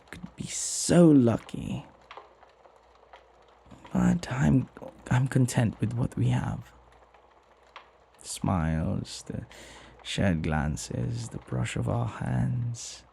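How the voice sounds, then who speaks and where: A man playing a devoted, flirty butler in an intimate ASMR roleplay, tender and hesitant, often sinking into a breathy whisper. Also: mid-range voice, warm tone, slight background noise, heavily acted. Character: flirty lovestruck male butler, devoted and deferential.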